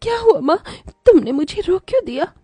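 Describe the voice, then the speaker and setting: A woman voicing a gentle, mistreated young stepsister in a cartoon, speaking with sad, plaintive melodrama. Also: very high-pitched, very animated, brisk, no echo. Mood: hurt.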